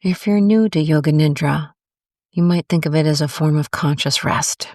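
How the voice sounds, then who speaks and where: A woman guiding a meditation in a gentle, calm, unhurried way with long pauses between phrases. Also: deep voice, no echo, clean studio sound. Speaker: guided meditation host. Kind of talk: soothing guided meditation narration.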